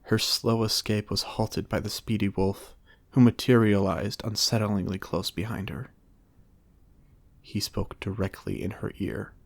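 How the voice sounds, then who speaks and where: A man's voice: fairly low pitch, fairly even intonation, a little slow, vocal fry, no room sound. A man narrating a short story in a storytime ASMR style.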